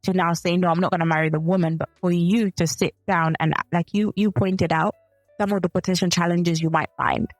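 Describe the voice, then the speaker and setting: A woman's voice: lowish voice, fairly even intonation, fairly quick, dry recording, faint music behind. A woman from Zambia co-hosting a faith and relationships podcast, speaking thoughtfully and often correcting herself mid-sentence. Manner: thoughtful, self-correcting, with frequent restarts. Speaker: podcast co-host. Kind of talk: casual chat.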